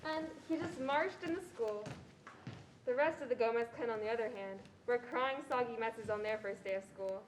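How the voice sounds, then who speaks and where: A woman's voice: fairly high pitch, moderate pace, a little hiss or hum, close to an everyday voice, poor audio. A young woman playing a mother who fondly recounts her son's childhood in a staged reading. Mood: fond, reassuring. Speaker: student performer. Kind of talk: reader's theatre narration.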